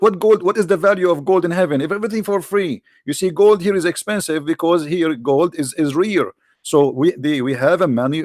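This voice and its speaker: A man's voice: sits high, no room sound, studio quality. A man hosting an argumentative debate, challenging and mocking his caller with repeated questions. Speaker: debate host.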